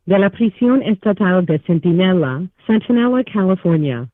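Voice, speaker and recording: An automated announcer comes through a narrow telephone line with a medium-pitched voice. The scripted notices are read out in a formal, recorded style. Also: no echo. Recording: tinny, narrow phone line.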